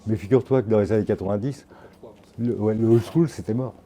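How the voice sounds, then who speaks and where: An older man from Normandy, in his sixties, talks in a low, dark voice. He rambles through anecdotes in loose slang, restarting and circling back as he goes. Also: quick pace, a little hiss or hum. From Normandy, France. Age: 60s. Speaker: tattoo artist and photographer. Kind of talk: casual interview, storytelling.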